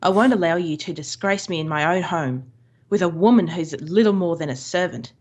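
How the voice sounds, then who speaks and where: An actress playing a demanding, jealous middle-aged wife in an old-time radio drama, with an imperious, scolding manner. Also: female voice, fairly low pitch, brisk, darkish tone, natural voice.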